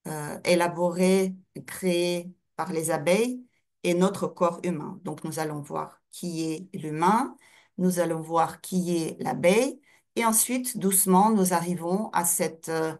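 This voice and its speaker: A middle-aged woman gives a talk as a guest lecturer. Her voice sits a little low and has plenty of fry in it. She goes very slowly, often searching for the next word. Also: steady tone, a little mellow. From Russia and Ukraine. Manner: hesitant, searching for words. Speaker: guest speaker, naturopath. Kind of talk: online lecture introduction.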